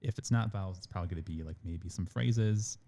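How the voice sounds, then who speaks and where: A man with a deep, warm voice talks as a guest on a college podcast. He rambles casually, stacking fillers as he thinks aloud. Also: fast talker, gravelly. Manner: rambling, many "like" and "again" fillers. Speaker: international admissions manager, guest. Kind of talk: conversational podcast interview.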